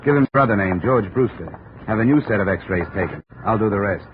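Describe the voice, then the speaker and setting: A tough, terse male investigator , played by an actor in a 1950s radio serial. His low voice is clipped and businesslike, whether narrating or questioning. It comes through a muffled, narrow recording with clear noise. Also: animated, fairly quick, natural voice. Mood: brisk, commanding. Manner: clipped, matter-of-fact. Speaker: lead character and narrator. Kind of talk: 1950s radio detective acting.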